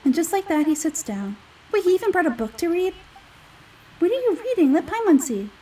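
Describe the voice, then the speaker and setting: A woman voicing a small, childlike, excitable fairy guide from a video game, whiny and exclamatory, speaking of herself in the third person. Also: very high-pitched, a little fast, far from the mic, voice pushed up, poor audio. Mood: impatient, curious.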